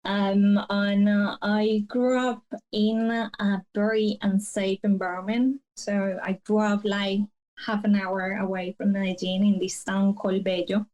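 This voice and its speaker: Colombian-born woman, guest on a podcast, talks slow and flat, soft mellow voice, lots of ums. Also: medium pitch, dull audio. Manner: slow, soft-spoken, halting with many fillers. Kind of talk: personal storytelling interview.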